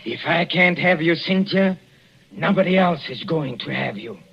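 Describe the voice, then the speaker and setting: A man's voice: a little high, normal speed, gravelly, husky. An actor playing a sinister husband who has poisoned his wife, in 1940s radio acting on a dull old broadcast. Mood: menacing. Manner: softly gloating, sinister. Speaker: villain in a radio play.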